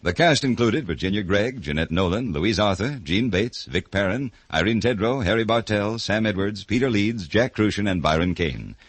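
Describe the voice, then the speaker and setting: A man's voice: on the low side, expressive, normal speed, few pauses, breathy edge, dry recording. A man reading scripted closing announcements as a formal, polished 1950s network radio announcer.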